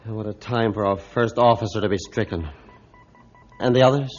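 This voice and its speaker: A man playing a grim, realistic ship's captain in a formal, deliberate, old-time radio drama style. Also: on the low side, darkish tone, lightly acted. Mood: stern, resolved. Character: grim, realistic male captain of an icebound ship. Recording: dull, band-limited old radio recording.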